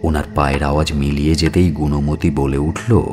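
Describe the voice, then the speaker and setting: A man narrating a scripted audio story in a measured, expressive storytelling manner. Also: very deep voice, faint music behind.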